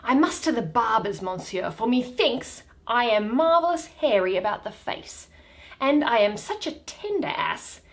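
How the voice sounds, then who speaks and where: A woman's voice: a little high, sing-song, a little slow. Woman playing pompous donkey-headed man, swooping delivery. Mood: fussy. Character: Bottom, bumbling self-important man, part donkey, hungry and sleepy.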